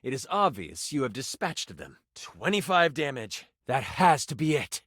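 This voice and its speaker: A man's voice: medium pitch, very animated, moderate pace, fairly bright tone, dry recording, clean studio sound. An actor playing a male game master who narrates a fantasy adventure in a theatrical, suspenseful storytelling style for a video game. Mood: grave, suspenseful.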